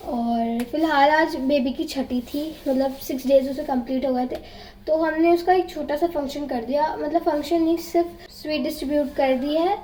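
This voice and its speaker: High-voiced hoarse young woman vlogging. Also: fairly even intonation, moderate pace, mellow, a little hiss or hum, rough recording. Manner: chatty, talks straight to viewers. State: hoarse, sore throat. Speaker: family vlogger. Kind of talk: casual home vlog to camera. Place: at home.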